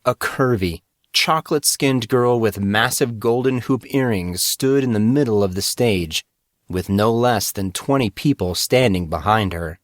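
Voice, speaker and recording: A man's voice: on the low side, unhurried, no room sound, studio quality. A man reading a scripted story as an audiobook narrator. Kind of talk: scripted fiction narration with acted dialogue.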